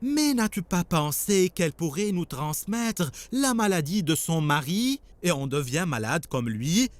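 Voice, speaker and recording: A stern, suspicious cartoon husband, with a somewhat raised pitch. He scolds and demands answers in sweeping, lively intonation. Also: measured pace, no room sound. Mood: stern, reproachful. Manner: accusatory, questioning, commanding. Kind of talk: dubbed religious cartoon acting.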